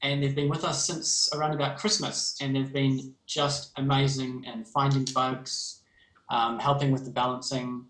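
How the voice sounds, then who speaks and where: A man's voice: medium pitch, flat delivery, normal speed, fairly bright tone. A man from New Zealand with a New Zealand accent, a game developer chatting casually on a livestream and explaining plans with frequent ums. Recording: roomy echo.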